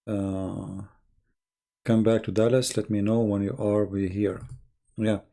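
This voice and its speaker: A man who speaks English as a second language, chatting casually and telling stories on a live stream in a halting, rambling way, often clearing his throat. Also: fairly low pitch, level tone, dark tone. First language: not English.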